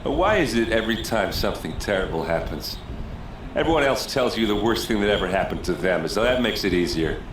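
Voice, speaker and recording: A man's voice: fairly low pitch, animated, brisk, reverberant, background noise, natural voice. A man playing a slightly drunk, grieving guard captain in a video game, loose and rueful. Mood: bitter grief. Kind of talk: video game dialogue acting.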